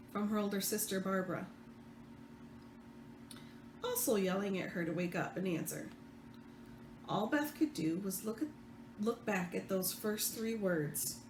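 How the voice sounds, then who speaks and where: Woman, author read-along, expressive story narration, occasional stumbles, roomy sound, faint background noise. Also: medium pitch. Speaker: author reading own book. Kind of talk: read-aloud fiction narration.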